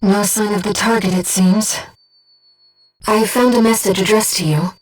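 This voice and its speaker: A woman voicing a gentle, earnest disembodied guide to a mercenary pilot in a video game, with a soft, measured, composed delivery. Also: mid-range voice, steady tone, distant mic, faint music behind, natural voice, rough recording. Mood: composed briefing. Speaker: mission support operator. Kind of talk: scripted video game voice acting.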